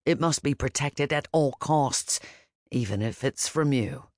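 A woman voicing a gentle, protective older man who speaks softly and calmly, with a firm edge. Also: medium pitch, a little slow, no echo, studio quality, close to an everyday voice. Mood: gentle, fatherly. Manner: soft, calm and fatherly.

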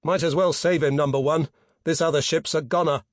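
A man voicing a commanding naval officer in an audiobook, shouting clipped, urgent orders. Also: a little high, fairly even intonation, brisk, no echo, studio quality, pitched above the performer's own voice, lightly acted.

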